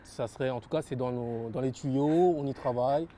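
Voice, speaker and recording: A man's voice: mid-range voice, normal speed, dark tone, slight background noise. A young French man giving earnest interview answers about his rap label, repeating phrases and using many fillers. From France.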